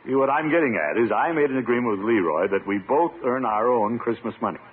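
An actor playing a pompous, easily flustered middle-aged town commissioner with a blustering, sputtering manner in an old radio comedy. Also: male voice, medium pitch, fast, husky, lightly acted. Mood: confiding, uneasy. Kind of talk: 1950s radio situation comedy acting. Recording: tinny, noisy old radio recording.

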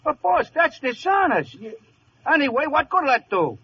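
On a dull, noisy old radio recording, a dopey male henchman with a remarkably high voice. He is fretful and deferential, talking in rough, ungrammatical slang. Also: very animated, normal speed. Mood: anxious. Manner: deferential, uneducated slangy grammar. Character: dim, worried, loyal gangster sidekick, a male underling. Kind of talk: 1940s radio comedy acting.

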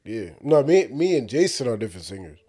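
A deep-voiced man co-hosting a podcast in relaxed, joking banter. His pitch swings widely as he goes from low, cool murmurs to bursts of laughter. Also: fairly quick, breathy edge. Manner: laid-back, jokey, lively swings in pitch. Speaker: podcast co-host. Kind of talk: casual banter.

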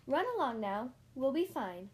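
A woman voicing a kindly grown-up babysitter in a storybook, talking in a bright, coaxing way. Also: high voice, very animated, normal speed.

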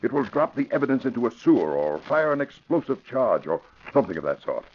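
A man's voice: mid-range voice, very animated, fast talker, husky. A man playing an earnest, authoritative American police commissioner in a classic radio drama. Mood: grave, urgent. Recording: muffled, lo-fi vintage radio recording.

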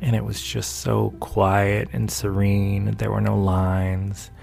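A gravelly man from North Carolina talks casually about his painting and his day. His voice sits fairly low and rambles along with easy amusement, with soft music far in the background. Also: little pitch movement, takes it slowly. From North Carolina, USA. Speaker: painter and video maker. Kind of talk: casual talking-head chat.

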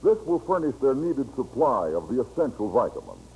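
Mellow, animated American male radio announcer. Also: medium pitch, fairly quick, creaky. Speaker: commercial announcer. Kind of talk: scripted old-time radio advertisement, earnest pitch. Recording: noisy vintage radio broadcast recording.

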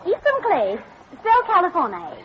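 An actress playing a young, prim frontier schoolmistress who is firm but easily flustered, in old-time radio drama style. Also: female voice, very high voice, wildly swooping pitch, moderate pace, exaggerated voice. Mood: firm, brisk. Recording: muffled, noisy vintage radio recording with crowd.

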